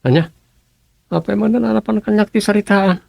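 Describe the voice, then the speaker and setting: An actor playing a principled, mildly defensive husband with a Filipino Ilocano accent, explaining himself earnestly in a radio comedy drama. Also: male voice, sits high, brisk, creaky, a little mellow, dry recording. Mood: questioning.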